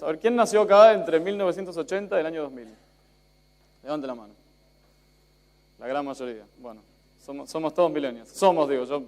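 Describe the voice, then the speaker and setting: A slightly high-voiced young man, born in Spain but sounding Argentine, lectures to a live audience. He talks casually, trips over restarts and pokes fun at himself. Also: darkish tone, smooth. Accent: Argentine Spanish. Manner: chatty, self-joking, many fillers and restarts. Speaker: conference speaker. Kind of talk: live conference talk.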